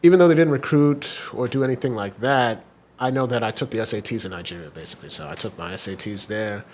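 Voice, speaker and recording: A young man from Washington, D.C., a guest on a podcast, telling his story in relaxed, rambling chat with plenty of fillers. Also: lowish voice, fast talker, slight background noise. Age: young adult. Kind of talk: casual interview chat.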